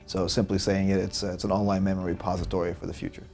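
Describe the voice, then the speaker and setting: A man's voice: low-pitched, flat delivery, very fast, gravelly, darkish tone, soft background music. A young man, a startup founder giving earnest interview answers with frequent fillers. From Lebanon.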